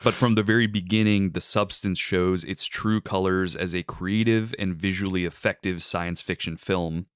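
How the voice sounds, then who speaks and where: A man hosting a film podcast, reviewing a movie and introducing a guest in a measured, articulate way with some "like" fillers. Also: low voice, fairly even intonation, clean tone, no echo, clean studio sound. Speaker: podcast host.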